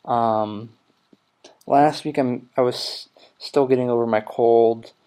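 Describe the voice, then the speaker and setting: Young male podcast host, twenties, low-energy rambler, mid-pitched voice. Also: steady tone, slow, warm tone, clear, resonant tone. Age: 20s. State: recovering from a cold. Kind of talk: casual solo podcast chat.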